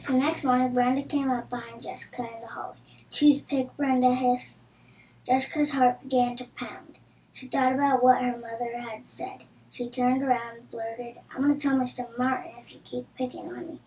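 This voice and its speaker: High-voiced female storybook reader in echoey room. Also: level tone, moderate pace, dark tone. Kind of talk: reading a children's storybook aloud. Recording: roomy echo with audible background noise.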